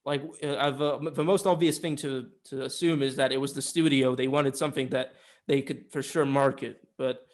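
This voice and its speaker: A man's voice: medium pitch, fairly even intonation, normal speed. A man co-hosting a film review show in casual discussion, opinionated and informal, given to swearing. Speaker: film review podcast co-host.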